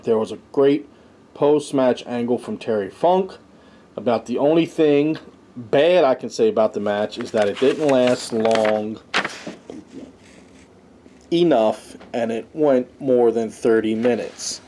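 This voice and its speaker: A man's voice: medium pitch, slow, dark tone, slight background noise. A man hosting a review show, casually reading out lists and commenting on them in an informal way. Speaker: host of a wrestling review show.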